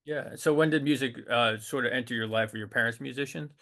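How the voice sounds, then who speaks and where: A man's voice: mid-range voice, fast talker, fairly bright tone. A man hosting an interview, musing aloud in a friendly way before asking his questions. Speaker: interview host. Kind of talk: casual interview conversation.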